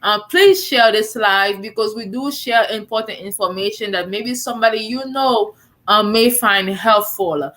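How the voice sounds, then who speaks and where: A woman hosting a live online talk show, chatty and relaxed, with many fillers. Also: medium pitch, normal speed. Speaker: host.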